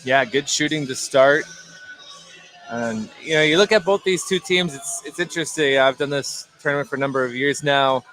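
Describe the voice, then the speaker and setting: An American man giving conversational basketball analysis, halting and repeating words as he goes. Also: medium pitch, fairly bright tone, soft background music, low-quality recording. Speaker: color commentator. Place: indoor basketball gym, courtside broadcast. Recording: faint gym noise behind.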